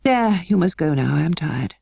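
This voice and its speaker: A woman voicing a weary, melancholy gentleman guardian for a storybook, kindly but stiff, with slow, halting, well-spoken delivery. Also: deep voice, lively intonation, gravelly, breathy edge, no room sound, full character voice. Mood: soft, sad.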